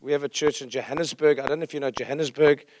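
A man's voice: medium pitch, steady tone, racing, background noise. A middle-aged man with a South African accent speaking informally to a congregation as a visiting pastor, conversational, humorous and self-deprecating. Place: church service, speaking to congregation.

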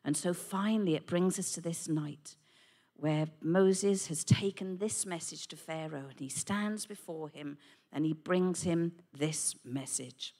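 A woman with a husky voice tells a scripture story with dramatic, unhurried pacing. Her voice sits a little low for a woman. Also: mellow. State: husky this morning. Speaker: Bible storyteller.

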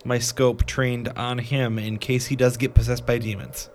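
A laid-back man joking through a tabletop game. His voice sits at an ordinary pitch, with faint room noise behind it. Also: little pitch movement, unhurried. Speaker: player. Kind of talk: casual tabletop play.

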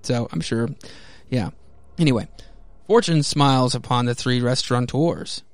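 A man's voice: medium pitch, normal speed, dry recording. An American man hosting a podcast, mixing theatrical comic-book narration with casual commentary. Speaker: podcast host.

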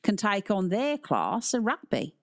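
A woman's voice: medium pitch, huge pitch swings, a little slow, pitched above the performer's own voice. Woman voicing a breezy young Australian male coach. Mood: matey, upbeat. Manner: breezy, slangy, commanding but friendly. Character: young Australian male sports teacher, stocky, breezy and matey.

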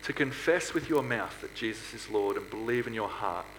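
A man's voice: on the low side, animated, moderate pace, fairly bright tone, a little hiss or hum, rough recording. A man preaching a sermon to a congregation in an earnest manner, often putting rhetorical questions to his listeners. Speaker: preacher at a church.

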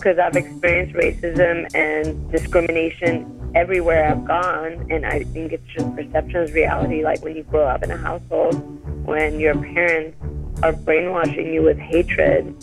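A man's voice: a little high, flat delivery, moderate pace, vocal fry, faint music behind, poor audio. A man in his thirties who grew up in Sweden and learned Swedish first, telling his story casually and reflectively with many "like" fillers. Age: 30s. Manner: reflective, heavy use of "like" fillers. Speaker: interview guest.

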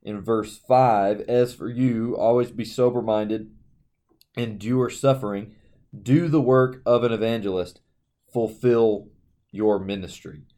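A man's voice: on the low side, steady tone, slow, dark tone. A man co-hosting a Bible podcast, setting up the text and reading verses aloud steadily. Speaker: podcast co-host. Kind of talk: reading and explaining scripture.

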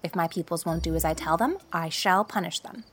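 A woman's voice: fairly low pitch, a little fast, natural voice. A woman voicing a practical young female teacher in an audiobook, matter-of-fact and decided. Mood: brisk, decided. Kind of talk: audiobook character dialogue.

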